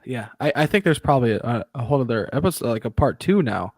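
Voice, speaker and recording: A man of average pitch co-hosts a podcast in loose live-stream chat. He stumbles and restarts his sentences and swears casually. His voice is recorded dry, with no room echo. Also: expressive, quick pace, a little mellow. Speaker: podcast co-host.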